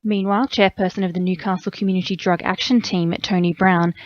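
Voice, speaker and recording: A woman's voice: on the low side, fairly even intonation, brisk. A woman with an Australian accent reading a scripted radio news bulletin. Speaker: radio newsreader. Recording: slightly dull radio audio.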